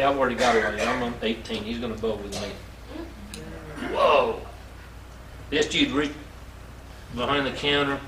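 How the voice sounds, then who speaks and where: Bright-voiced older storyteller, man, roomy sound. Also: mid-range voice. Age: 60s. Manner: folksy, animated storyteller with repetition and acted-out dialogue. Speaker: speaker telling his life story to an audience. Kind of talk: anecdotal inspirational talk. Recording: roomy, distant mic, faint background noise.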